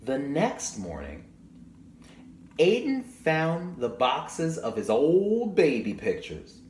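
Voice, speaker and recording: Young guy reading a kids book, takes his time, really lively pitch, some noise behind. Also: slow. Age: young adult. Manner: expressive, chatty, addressing young listeners. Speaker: children's storybook reader. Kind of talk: picture-book read-aloud with asides to children. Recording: roomy echo, audible background noise.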